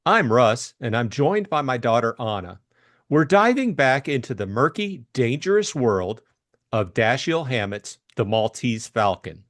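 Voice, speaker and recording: A man hosts an audiobook from a script at a slow, deliberate pace. His mid-range voice moves up and down a lot in pitch. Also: sing-song, fairly bright tone, no room sound, clean studio sound. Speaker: host and audiobook narrator. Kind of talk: scripted introduction and narration.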